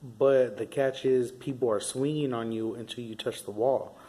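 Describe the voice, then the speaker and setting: A man's voice: mid-range voice, fairly even intonation, moderate pace, mellow, poor audio. A young man, telling stories in a casual, rambling vlog, swearing freely and leaning on fillers. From Southern California. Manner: rambling, profane street talk with many fillers. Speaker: vlogger. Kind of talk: casual storytelling monologue.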